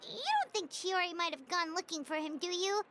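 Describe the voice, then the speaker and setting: A woman voicing a tiny, childlike floating companion in a video game, chatty and quick to take offense. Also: very high-pitched, very noisy. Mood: excitable. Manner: speaks of herself in the third person, indignant and chatty. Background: game music.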